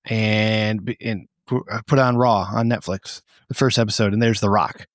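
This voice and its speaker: A man's voice: fairly low pitch, steady tone, moderate pace, dry recording, clean studio sound. A man co-hosting a comedy podcast, ranting casually and irreverently with plenty of swearing. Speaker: podcast co-host.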